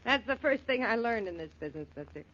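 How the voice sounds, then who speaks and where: Blunt young American woman acted, filling station type, slightly high voice, vintage radio play, dull sound. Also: expressive, fast, heavy background noise, natural voice. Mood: brisk. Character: plain-spoken, tough young woman running a filling station. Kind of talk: 1940s radio acting. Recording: dull 1940s radio recording.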